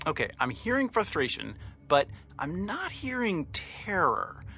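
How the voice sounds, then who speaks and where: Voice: medium pitch, big pitch swings, a little slow. An actor playing a goofy, overeager teenage boyfriend in a horror-comic video game, coaxing in an exaggerated way. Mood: eager coaxing. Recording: music under the voice.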